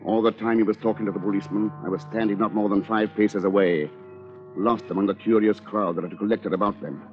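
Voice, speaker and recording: An actor playing a scheming, precise lawyer in an old radio drama, with coolly confiding delivery. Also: male voice, fairly low pitch, fairly even intonation, fairly quick, soft background music, natural voice. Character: scheming, coolly calculating male lawyer narrating his crime. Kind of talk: 1940s radio drama acting, first-person narration. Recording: dull, lo-fi old radio recording.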